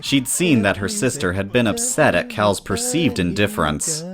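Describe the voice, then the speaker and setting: A man's voice: on the low side, very animated, moderate pace, crowd noise. A man reading scripted story narration in the third person, as the narrator of an audio drama.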